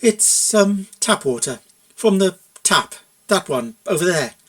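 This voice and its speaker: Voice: medium pitch, animated, slow pace. A performer voicing an exasperated, blunt cartoon mare in a story reading. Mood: hesitant. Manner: hesitant muttering or indignant outbursts. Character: exasperated, blunt young mare with a short temper.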